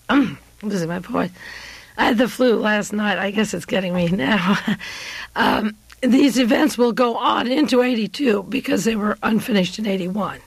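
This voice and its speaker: A woman hosting a radio show, delivering a rambling political monologue with frequent pauses. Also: mid-range voice, gravelly, breathy edge, no echo, rough recording.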